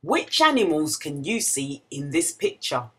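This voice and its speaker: A woman reading a picture book aloud to young children in an expressive, questioning storytelling manner. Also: low voice, measured pace, a little hiss or hum. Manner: expressive, exclamatory, asks the listener questions.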